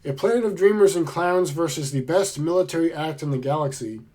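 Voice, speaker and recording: A man getting over a cold, with a slightly hoarse voice, chatting casually and with enthusiasm as he shows off his book haul. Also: on the high side, fairly quick, a little mellow. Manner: enthusiastic, chatty, laid-back. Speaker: book vlogger.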